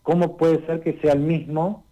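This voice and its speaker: A man, giving a conversational radio literature column and explaining things unhurriedly, often pausing on "eh" and tagging his sentences with "¿no?". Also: fairly high pitch, level tone, warm tone, rough recording. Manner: unhurried and explanatory, tags lines with "¿no?" and "eh".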